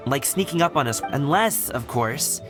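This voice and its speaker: A kind, earnest young man from a video game, performed by a male actor speaks in a youthful voice. His delivery is casual and straight to the point. Music plays clearly underneath. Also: medium pitch, animated, moderate pace, natural voice. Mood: knowing, casual.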